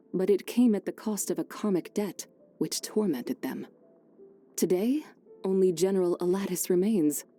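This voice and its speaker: A woman voicing a serene, wise, wistful ancient female immortal in a video game, speaking formally in measured, genteel, old-fashioned phrases. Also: on the low side, warm tone, natural voice. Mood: solemn. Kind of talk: scripted game character voice lines.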